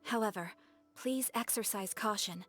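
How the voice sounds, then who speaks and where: An actress playing a dutiful, courteous official in a video game, speaking formally and precisely. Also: female voice, medium pitch, normal speed, a bit bright, soft background music, lightly acted. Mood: composed, formal.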